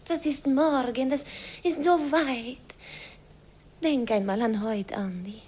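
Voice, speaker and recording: A woman's voice: on the high side, slow, darkish tone. An actress playing a tender, impulsive young village girl in a radio play. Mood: tender, intimate. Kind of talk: old radio play acting. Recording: slightly noisy old radio recording.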